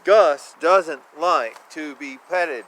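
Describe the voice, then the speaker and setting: A man reading children's picture books aloud in a playful, expressive way, with casual asides and repeated phrases. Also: on the high side, very slow. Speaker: children's book reader. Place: outdoors. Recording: noisy outdoor ambience. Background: outdoor ambience, animal and vehicle sounds.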